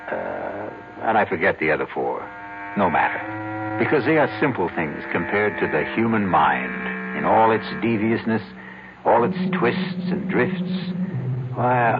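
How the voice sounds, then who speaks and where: Theatrical low-voiced male radio mystery host. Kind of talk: theatrical scripted radio narration. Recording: tinny band-limited old radio broadcast, music behind.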